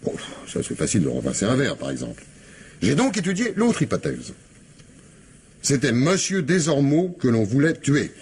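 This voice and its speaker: An actor playing a shrewd, methodical police commissioner in a French radio crime drama, with a husky voice and an insistent manner. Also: male voice, mid-range voice, huge pitch swings, normal speed, a little mellow, full character voice. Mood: methodical, assured. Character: shrewd, relentless male police commissioner making accusations. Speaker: actor in an audio mystery play.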